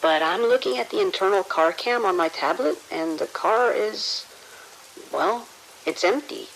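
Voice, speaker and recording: A woman's voice: medium pitch, lively intonation, a little slow, gravelly, fairly bright tone, a little hiss or hum, rough recording. A woman playing a nervous security officer on night shift in an audio drama, speaking hesitantly with stammers. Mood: uneasy, rattled. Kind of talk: immersive audio drama acting.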